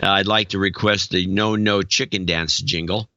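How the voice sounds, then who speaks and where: A man's voice: low-pitched, steady tone, moderate pace, pushed and bright, dry recording, highs cut off. A man co-hosting a talk podcast, reading listener notes aloud with dry humor. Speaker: podcast co-host. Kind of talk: casual chat, reading donor notes.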